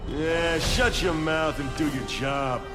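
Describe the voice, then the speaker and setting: Impatient crook in charge, slangy, curt, slightly high, echoey hall, game. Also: male voice, takes it slowly, loud music behind the voice. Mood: bossy irritation. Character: bossy, impatient male gang henchman leader.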